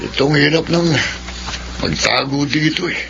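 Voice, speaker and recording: A shifty young Filipino thug in a radio drama, quick to flare up. His fairly high voice crackles with gravel, on a muffled recording. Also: a bit bright. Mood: grumbling. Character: shifty, hot-headed young male thug in hiding. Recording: dull, noisy lo-fi radio.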